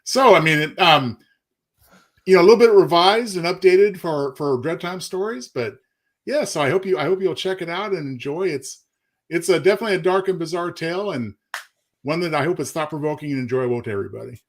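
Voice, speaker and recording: Male co-host, slightly high voice, polite and a touch formal in an easy live chat. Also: a little fast. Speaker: co-host and short story author.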